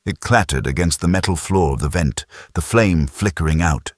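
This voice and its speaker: Very deep, husky male audiobook narrator. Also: normal speed, no room sound, clean studio sound. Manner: intense, grave storytelling. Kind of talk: dramatic scripted sci-fi narration.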